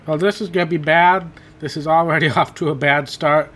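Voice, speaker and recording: Guy narrating his racing game run, kinda high voice, rambly, voice a bit worn out. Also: steady tone, fairly quick, a bit bright. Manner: rambling, self-correcting, chatty. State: voice worn from hours of talking. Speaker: gaming channel host. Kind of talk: casual racing gameplay commentary.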